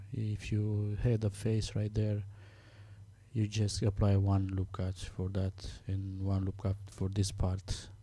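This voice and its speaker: A man with a Romanian accent, Romanian his first language, talking through a software tutorial step by step, halting with many fillers as he works. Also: on the low side. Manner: halting, many fillers, thinking aloud while working. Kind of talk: screen-recorded software tutorial.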